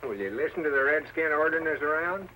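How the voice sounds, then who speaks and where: Bright-voiced, brusque crooked frontier lawman. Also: on the high side, lively intonation, fast talker. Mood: scornful, dismissive. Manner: brusque, drawn to threats and sneers. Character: scornful, scheming crooked deputy in a frontier town. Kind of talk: old-time radio western acting. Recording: faintly noisy old radio recording.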